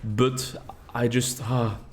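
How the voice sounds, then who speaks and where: A man's voice: mid-range voice, flat delivery, takes it slowly. A man who is a native Dutch speaker hosting a gaming video in English, with casual, rambling, playful commentary and dry humor.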